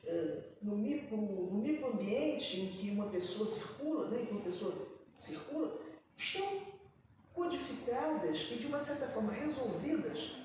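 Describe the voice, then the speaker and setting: A woman in a panel discussion, her voice high but mellow, working through ideas with pauses and restarts. She is picked up from across an echoey room, with faint noise underneath. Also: big pitch swings, recorded from a distance. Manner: reflective, many pauses and restarts. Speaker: writer on a panel.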